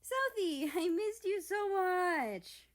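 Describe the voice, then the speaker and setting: A woman voicing a playful, cocky young female character in a story, pouty and teasing in an animated way. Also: very high voice, slow pace, voice pushed up, heavily acted. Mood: gleeful. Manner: giggly, squealing, teasing.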